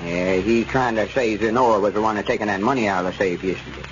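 An actor playing a stubborn, suspicious old rural justice of the peace in a radio comedy, with a folksy Southern accent. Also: male voice, fairly low pitch, fast, lightly acted. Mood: suspicious, indignant. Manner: folksy, rustic, ungrammatical speech. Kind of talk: 1930s radio comedy acting. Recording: muffled, noisy old radio broadcast.

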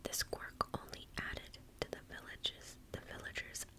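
A woman in her thirties gently whispering a story in a careful ASMR style, close to the microphone. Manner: slow, careful, unhurried delivery. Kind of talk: ASMR storytime, whispered reading of a spooky tale.

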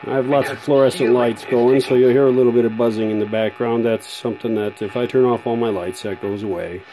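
A man's voice: mid-range voice, fairly even intonation, brisk. A man casually demonstrating a vintage radio repair at his workbench, talking in a rambling, hesitant way with many fillers, filmed one-handed on a phone. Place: workshop bench with fluorescent lights. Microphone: handheld phone camera, one-handed. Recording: background hum from fluorescent lights.

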